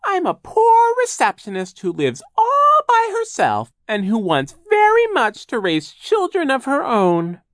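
A man puts on a foolish, sugary fake-lady receptionist with an evil villain underneath. The voice is a ridiculously high falsetto that swoops wildly. It drags out its words theatrically, in storybook style with a very dry sound. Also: wildly swooping pitch, no echo, full character voice. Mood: gloating, sugary. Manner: mock-sweet, drawn-out, theatrical.

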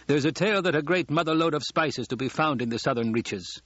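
A man's voice: a little high, animated, fast, a bit bright, dry recording, dull audio, pitched above the performer's own voice. A man voicing a dry, authoritative scientist in an audiobook, speaking precisely and formally. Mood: cautious, factual. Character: male planetary scientist, dry, authoritative, cautious. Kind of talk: audiobook character acting.

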